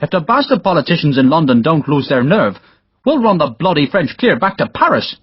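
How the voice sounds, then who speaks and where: A man's voice: mid-range voice, sing-song, fairly quick, husky, no room sound, muffled recording. A man voicing a hearty, blunt middle-aged Irish engineer captain in an audiobook. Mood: indignant, rousing. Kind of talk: audiobook character dialogue.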